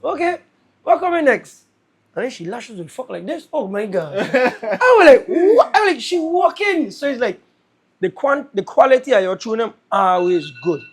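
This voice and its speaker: Excitable Caribbean-accented podcast host. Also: mid-range voice, moderate pace, husky. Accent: Caribbean English. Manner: excitable, swearing, fan-like. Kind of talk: casual podcast interview.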